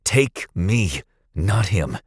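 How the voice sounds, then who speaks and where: A man voicing a frightened but brave, protective child in a storybook tale, pleading loudly and urgently. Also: low-pitched, animated, drawn-out words, creaky, breathy edge, no room sound, clean studio sound, pitched above the performer's own voice, full character voice.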